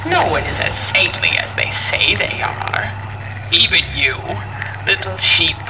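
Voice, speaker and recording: A man's voice: a little high, animated, a little slow, over music, rough recording. A man voicing a sinister, suave male demon who talks in a theatrical, mocking way with honeyed menace. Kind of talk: fan comic dub.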